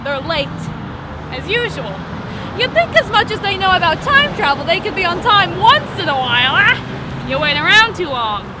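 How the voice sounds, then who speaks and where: An actress playing an eccentric street woman who is defiantly proud of her madness, speaking with a raspy voice and rambling as she needles passersby directly in a one-woman stage monologue. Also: extremely high pitch, sing-song, moderate pace, over a noisy crowd, very noisy. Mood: ranting. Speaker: stage actor. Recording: distant voice under loud music.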